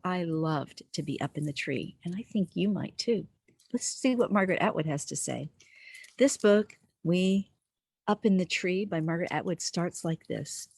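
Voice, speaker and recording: A woman reading a picture book aloud to young children outdoors, expressively, pausing to put questions to the listener. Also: on the low side, vocal fry, darkish tone, dry recording. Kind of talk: animated read-aloud storytelling for young children. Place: outdoors in a backyard with trees.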